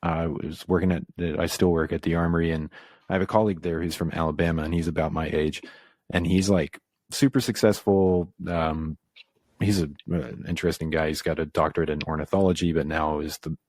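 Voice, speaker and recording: A man with a very low voice, speaking as a guest on a podcast. He grew up in the American South and talks in a halting, reflective way, full of "like" and "um". The sound is dry and close, with no room around it. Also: steady tone, darkish tone, no echo. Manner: reflective, halting, filler-heavy. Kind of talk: casual conversation.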